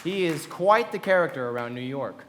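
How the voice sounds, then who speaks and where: An actor playing a suave, self-assured wealthy gentleman in a live radio play, genteel and knowing, recorded in an echoey hall. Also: fairly high pitch, lively intonation, moderate pace, background noise, lightly acted. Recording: echoey hall with long reverb.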